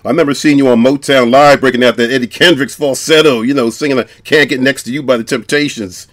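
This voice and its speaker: A man's voice: medium pitch, fairly even intonation, fast, a bit bright, no echo. A male radio host asking questions in a casual, enthusiastic way, often adding "man.". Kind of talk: radio interview.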